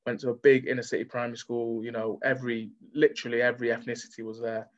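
A man's voice: medium pitch, level tone, quick pace, lo-fi sound. A young man from Manchester with a Mancunian accent, talking candidly as an interview guest. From Manchester, England.

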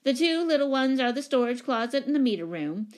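A woman voicing a large, commanding, haughty lady, booming, imperious and fussy, in a storybook reading. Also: big pitch swings, fairly quick. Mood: brisk, officious.

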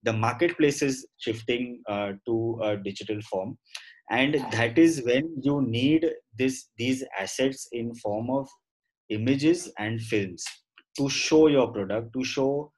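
A middle-aged man with an Indian accent and a mid-pitched voice speaks as an interview guest. He explains things conversationally and haltingly, restating phrases to make his point. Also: a little mellow, poor audio. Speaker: guest, professional photographer.

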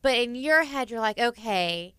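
A woman in her thirties chatting casually as a co-host, animated and laughing often. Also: a little high, moderate pace, clear, resonant tone, no echo, studio quality. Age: 30s. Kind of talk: casual chat.

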